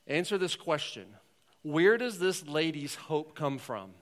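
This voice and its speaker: A man's voice: fairly high pitch, lively intonation, a little slow. A man, a pastor, casually setting up a video for his congregation with questions. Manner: conversational, asking the room questions. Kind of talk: sermon introducing a video.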